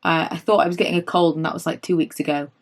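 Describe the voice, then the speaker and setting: Woman in her late 30s doing a chatty makeup vlog, a bit hoarse, goes off on tangents. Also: low-pitched, fast talker. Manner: animated, rises when indignant. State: slightly hoarse, losing her voice. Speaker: beauty vlogger.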